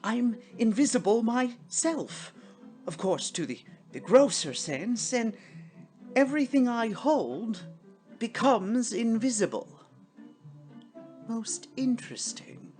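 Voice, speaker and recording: A woman voicing a fussy, bewildered, stammering gentleman ghost in a storybook reading. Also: a little high, big pitch swings, takes it slowly, faint music behind, voice pushed up. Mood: intrigued. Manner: stammering, flustered, prone to exclaim. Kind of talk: theatrical storybook character voice.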